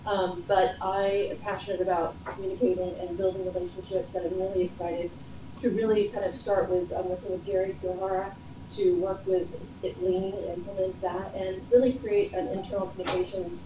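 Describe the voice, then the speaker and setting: A woman's voice: medium pitch, level tone, brisk, noisy background. A woman from Houston introducing herself at a work meeting in an eager, friendly way, recorded from across a room. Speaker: new executive team member. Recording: far-off roomy lo-fi meeting recording.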